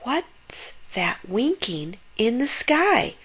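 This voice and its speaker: A woman voicing an eager, inquisitive young boy in a storybook, with an excited, exclamatory manner. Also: on the high side, drawn-out words, slightly rough, pitched above the performer's own voice, full character voice. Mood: hushed wonder. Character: eager young boy full of wondering questions. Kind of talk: storybook character voice.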